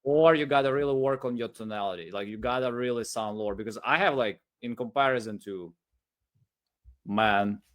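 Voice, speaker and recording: A man with non-native English talks off the cuff, coaching listeners on sales. He circles back and repeats himself as he goes, leaning on his points with emphasis. His voice sits at an ordinary male pitch. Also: normal speed, fairly bright tone. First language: non-English first language. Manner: rambling, repetitive, emphatic. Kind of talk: unscripted talk giving sales advice.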